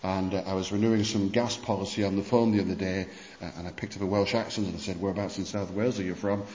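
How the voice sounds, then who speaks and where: Male preacher, lively storyteller, low voice, dull hall recording with faint background noise. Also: fast talker. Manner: animated storytelling with many restarts. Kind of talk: sermon lecture on church history. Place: conference hall. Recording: muffled hall recording with long reverb.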